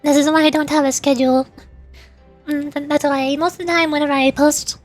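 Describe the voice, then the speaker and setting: A woman's voice: high-pitched, level tone, a little fast, faint music behind. A woman livestreamer chatting casually with viewers while gaming, in a playful, rambling way. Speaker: livestreamer (VTuber) playing and voicing a game.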